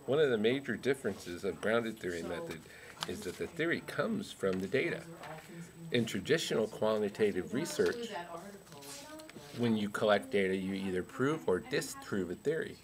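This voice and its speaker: A man's voice: animated, moderate pace, crowd noise, lightly acted. A man voicing a goofy academic researcher puppet in a comic explainer, playful and silly. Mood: playful explaining. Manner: playful, silly, with high whoops.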